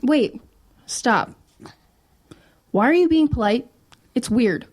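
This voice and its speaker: A wry teenage girl, played by a woman in an audio drama. She has a slightly high voice and talks in a casual, dry way, like a guarded but protective older sister. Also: expressive, slow pace, a little mellow. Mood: casual, teasing.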